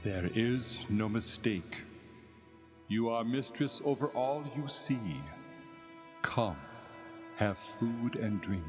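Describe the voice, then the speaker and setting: An actor playing a courtly, inviting Sultan with a veiled threat, stately, in a reverberant radio play over music. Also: male voice, medium pitch, takes it slowly, long pauses, natural voice. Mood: welcoming.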